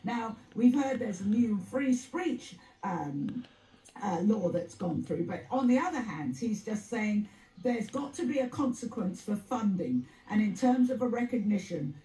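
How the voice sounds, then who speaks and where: A woman's voice: mid-range voice, expressive, measured pace, dark tone, reverberant, rough recording. A woman presenting a TV debate in a conversational way, heard as television sound replayed in a room. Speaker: panel discussion presenter.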